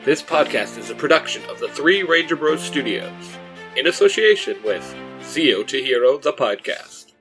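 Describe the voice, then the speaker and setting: A man hosting his own podcast, reading out credits and giving shout-outs. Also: a little high, lively intonation, normal speed, music in the background, low-quality recording. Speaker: podcast creator and host.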